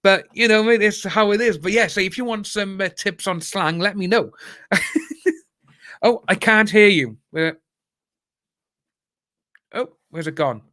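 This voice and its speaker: A man's voice: high voice, unhurried, long pauses, pushed and bright, no room sound, clean studio sound. Chatty Cardiff-accented man in his thirties. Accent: Cardiff Welsh. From Wales. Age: 30s. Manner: chatty and jokey, laughs often, says "mate". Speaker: guest. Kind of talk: casual chat.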